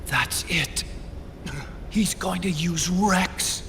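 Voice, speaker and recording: A man's voice: high-pitched, extremely slow, gravelly, exaggerated voice. A man playing an anxious, earnest tech expert and loyal friend in a video game, speaking insistently. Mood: tense, realizing. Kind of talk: dramatic video game acting. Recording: noisy vehicle ambience.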